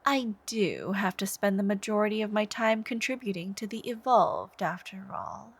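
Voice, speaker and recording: A woman voicing an obsessive, devoted, soft-spoken supervillain in a scripted ASMR roleplay, intimate and menacing, with phrases trailing into whispers. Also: mid-range voice, expressive. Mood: softly coaxing. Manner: slow, intimate, breathy sighs and whispered phrase endings.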